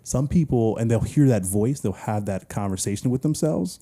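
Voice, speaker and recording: A man's voice: fairly low pitch, lively intonation, moderate pace, dark tone. A man co-hosting a casual podcast, musing through ideas loosely with many fillers like "like" and "you know". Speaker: podcast co-host. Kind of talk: casual chat.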